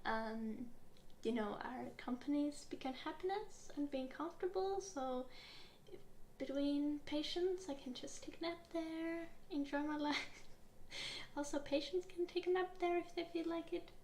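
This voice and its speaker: A young Finnish woman speaks softly and slowly in a light, high voice, easing through an ASMR interview roleplay with gentle fillers. Her voice carries a roomy echo, with faint ambience behind it. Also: slight background noise. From Finland. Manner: gentle, unhurried, with soft giggles and filler words. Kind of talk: soft-spoken ASMR roleplay.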